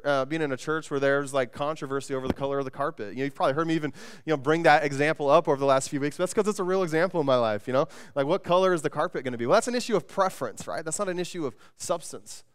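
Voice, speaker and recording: A man's voice: a little high, fairly bright tone, smooth. A man in his thirties preaching a sermon from a church stage, conversational, often repeating words and restarting sentences. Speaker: pastor. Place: church stage with band gear, indoors.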